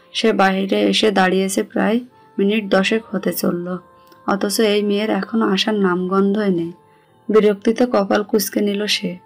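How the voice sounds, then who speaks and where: A woman with a Bangladeshi accent reading a scripted romantic story as its narrator. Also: medium pitch. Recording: music bed behind the voice.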